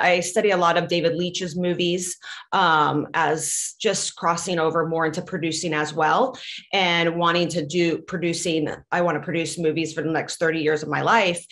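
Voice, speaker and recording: A woman's voice: on the low side, a bit bright. A woman who grew up in Latvia, speaking English as a second language in a casual interview, earnest and enthusiastic, with many fillers. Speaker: actress and professional wrestler, interview guest.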